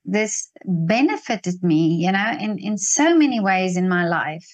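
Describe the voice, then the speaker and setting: A woman in her sixties with a South African accent, talking reflectively and haltingly as she searches for her phrasing. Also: mid-range voice, expressive. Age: 60s. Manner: hesitant, searching phrasing with repeats. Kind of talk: reflective casual conversation.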